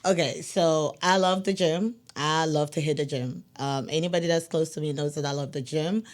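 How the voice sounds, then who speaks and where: A woman's voice: low voice, fairly even intonation, moderate pace. A woman from Nigeria and Ghana, a candid interview guest who laughs easily. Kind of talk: casual interview chat.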